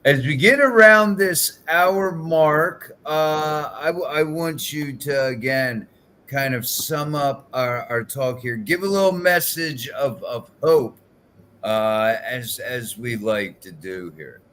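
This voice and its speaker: A man's voice: medium pitch, fairly bright tone, slight background noise. A man in his fifties hosting a podcast interview, talking casually with hesitant fillers. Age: 50s. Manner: slow, hesitant, many fillers. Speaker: podcast host.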